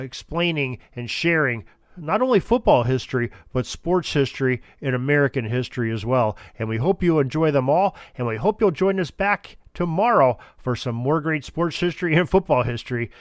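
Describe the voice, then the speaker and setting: A man's voice: mid-range voice, dry recording, clean studio sound. A man hosting a sports history podcast, talking informally in a rambling, folksy way. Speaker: podcast host.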